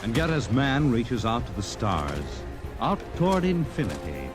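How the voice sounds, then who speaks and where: Portentous vintage radio announcer, swooping delivery. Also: male voice, medium pitch, sing-song, unhurried. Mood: portentous, dramatic. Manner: grave, portentous, theatrical. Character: grave, portentous male announcer of science fiction tales. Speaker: radio show announcer and narrator. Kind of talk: 1950s radio drama announcing, dramatic scripted narration. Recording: old radio broadcast, loud music behind.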